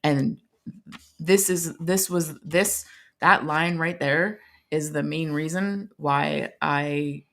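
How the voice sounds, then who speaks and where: Low-voiced woman in her thirties. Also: a bit bright. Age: 30s. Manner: earnest, halting with many restarts. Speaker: podcast co-host. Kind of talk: casual recovery podcast chat.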